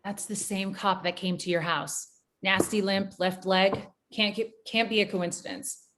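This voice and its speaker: A woman acting a bold, sharp, streetwise investigator in a screenplay table read, with punchy colloquial delivery. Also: medium pitch, steady tone, unhurried, lightly acted. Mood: tense.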